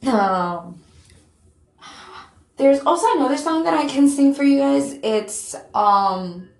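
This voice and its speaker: A woman's voice: high-pitched, animated, slow. A woman vlogger chatting casually and self-deprecatingly to her viewers, full of fillers. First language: not Korean. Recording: echoey room.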